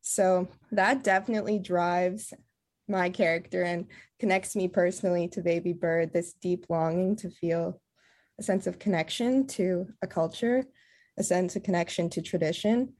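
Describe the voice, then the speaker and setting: Earnest, reflective woman with a lowish voice. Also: fairly even intonation, normal speed, darkish tone. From Canada. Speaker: theatre artist, interview guest. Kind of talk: casual interview talk.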